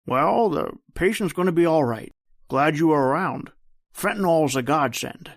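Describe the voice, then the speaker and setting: Man playing a kindly older doctor, higher-pitched. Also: expressive, normal speed, no echo, studio quality. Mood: kindly, encouraging. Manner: folksy, hesitant, encouraging. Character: older kindly male doctor, fatherly and appreciative. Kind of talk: old-time radio drama acting.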